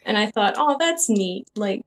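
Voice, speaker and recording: A woman from Quebec with a Quebec accent, a guest on a podcast, chatting casually in a friendly, soft-spoken and slightly hesitant way. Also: medium pitch, expressive, a little slow. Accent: Quebec English. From Quebec, Canada. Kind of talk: casual chat over a video call.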